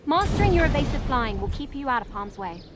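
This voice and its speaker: A young woman sports player guiding beginners through a video game tutorial. Her voice is high and very animated, encouraging and instructive, with prominent music playing behind her. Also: quick pace.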